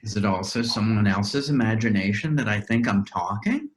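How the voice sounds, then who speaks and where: A performer playing a wonderstruck imaginary being just finding speech, halting and bewildered, in readers theater. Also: mid-range voice, animated, natural voice. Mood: bewildered, wondering. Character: wonderstruck imaginary being, newly able to smell and speak.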